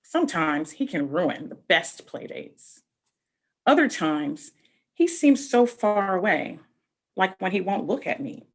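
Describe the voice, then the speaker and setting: A woman reading a picture book aloud to children, expressively, pausing to explain things directly to her young listeners. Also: mid-range voice. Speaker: read-aloud storyteller.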